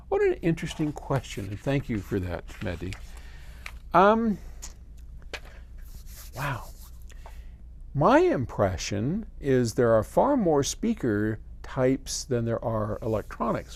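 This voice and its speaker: An older American man answering a viewer's question in a casual, folksy, conversational way with expressive emphasis. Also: mid-range voice. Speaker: host, audio company head answering viewer questions.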